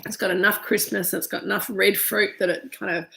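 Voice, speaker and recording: A woman in her thirties with an Australian accent talks her way through a wine tasting, stuffy from a cold and full of ums and restarts. Her voice has a gravelly edge. The room around her echoes noticeably. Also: medium pitch, little pitch movement, a little fast, sharp tone. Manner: chatty, many ums and restarts. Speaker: winemaker hosting a live virtual tasting. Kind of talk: casual live-stream chat, reading viewer comments. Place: winery room.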